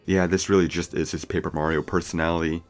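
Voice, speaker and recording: A man's voice: low-pitched, little pitch movement, fast talker, heavy background noise. A man narrating a scripted video review with casual, opinionated fan commentary. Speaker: film reviewer.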